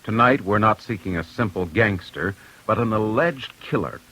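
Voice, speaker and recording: An American man hosting an old-time radio crime program, narrating from a script in a grave, deliberate way. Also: fairly low pitch, expressive, pushed and bright, slightly rough, poor audio. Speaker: radio host, investigator. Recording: old radio broadcast, faint music.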